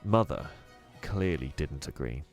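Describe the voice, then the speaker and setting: A man reading a children's book aloud in an expressive, animated way. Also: a bass voice, measured pace, soft background music. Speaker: storyteller.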